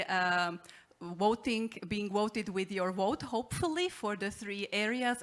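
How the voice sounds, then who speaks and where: A woman's voice: medium pitch, a bit bright. A young woman with a Slovak accent giving an earnest conference presentation for her company. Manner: earnest, with small restarts.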